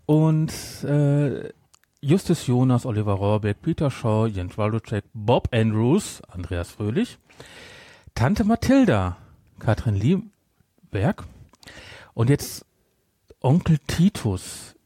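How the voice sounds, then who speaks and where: A man's voice: mid-range voice, sing-song, takes it slowly, pauses often, mellow, no room sound, studio quality. A man hosting a podcast in a casual, rambling chat, often interrupting himself. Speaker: podcast host.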